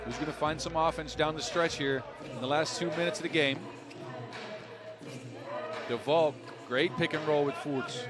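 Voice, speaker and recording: A man's voice: on the high side, moderate pace, pauses often, crowd noise. A man giving conversational play-by-play commentary on a live basketball game, lifting his voice on big plays. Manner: conversational, excitable on big shots.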